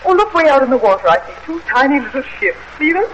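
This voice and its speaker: An actress playing an eager, dreamy young wife on holiday in a 1940s style radio drama. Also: female voice, high voice, big pitch swings, quick pace. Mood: delighted, dreamy. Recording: dull, hissy old radio recording.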